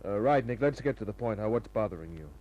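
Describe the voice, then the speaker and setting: An actor playing a tough, cool private detective in an old-time radio drama, laconic and hard-boiled, narrating and talking. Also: male voice, fairly low pitch, expressive, fast talker, darkish tone, dull audio. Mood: businesslike. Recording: old radio recording, music behind.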